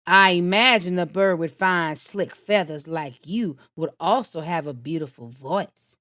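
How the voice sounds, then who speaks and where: A woman voicing a sly, smooth-talking male fox who flatters to get his way, in a storybook reading. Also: fairly low pitch, a little slow, no room sound, clean studio sound. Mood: coaxing. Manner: sweet-talking, coaxing.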